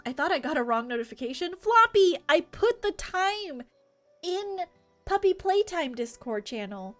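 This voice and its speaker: A woman's voice: very high voice, very animated, unhurried, a bit bright. A woman livestreamer chatting casually during a game stream and reading dialogue aloud. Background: music.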